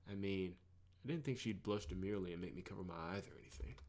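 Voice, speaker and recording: A man's voice: low-pitched, expressive, fast, creaky, a little mellow. A man voicing a weary, anxious young male fighter in a visual novel, self-deprecating and hesitant. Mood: awkward. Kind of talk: visual novel character reading.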